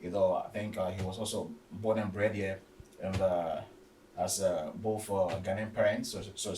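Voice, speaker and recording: Halting, stammering man in an echoey room. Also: deep voice, steady tone, a little mellow. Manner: halting, stammering, repeating words. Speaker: football discussion panellist. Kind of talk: unscripted sports discussion. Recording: roomy echo, distant mic, faint noise.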